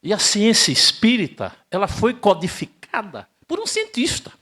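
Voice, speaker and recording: An older man from Brazil giving an emphatic spiritist lecture, asking rhetorical questions and swinging between loud and hushed delivery. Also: sits high, huge pitch swings, normal speed. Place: spiritist center hall, live audience.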